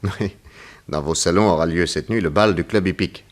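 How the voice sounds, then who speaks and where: An actor playing a composed middle-aged private detective in an early sixties French radio drama, polite, brisk and commanding. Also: male voice, low-pitched, close to an everyday voice. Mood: courteous. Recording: old radio production with music behind.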